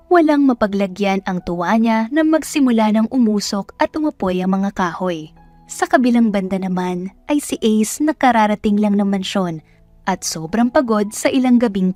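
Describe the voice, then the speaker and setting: A woman's voice: mid-range voice, dry recording. A woman storyteller reading a scripted romance story in dramatic narration. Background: soft music.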